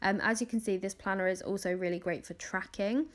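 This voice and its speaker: A woman's voice: mid-range voice, steady tone, a little fast. A young woman in her twenties chatting casually through a planning video voice-over, rambling with many ums and small laughs, her voice crackly from early morning. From England. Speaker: planner vlogger.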